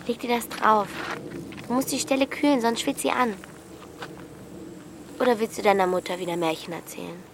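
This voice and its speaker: A woman's voice: on the high side, fairly even intonation, normal speed, creaky, long pauses, a little hiss or hum. A woman playing a stubborn, practical thirteen-year-old girl in an audio drama, caring but curt. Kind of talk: German audio drama acting.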